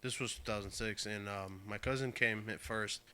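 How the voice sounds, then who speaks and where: A man's voice: lowish voice, fairly even intonation, moderate pace, sharp tone, clean tone. A man from Portugal, a podcast guest, telling a story casually and often correcting himself as he goes. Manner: rambling, self-correcting, laughs easily.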